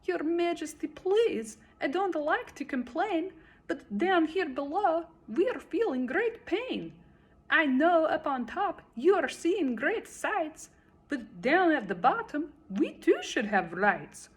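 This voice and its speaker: A woman's voice: sits high, very animated, slow, voice pushed up, full character voice. A woman voicing a meek little male turtle in a storybook read-aloud, politely pleading and groaning in complaint. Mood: plaintive, pained.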